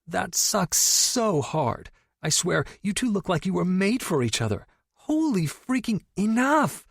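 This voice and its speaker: A shrill, bubbly young woman who can't contain her excitement. Her voice jumps high and swings wildly as she gushes, breathless and squealing. Close, dry audiobook sound. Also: measured pace, vocal fry, breathy edge, dry recording, full character voice. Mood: gushing. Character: gushing, excitable young woman best friend. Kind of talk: audiobook character voice.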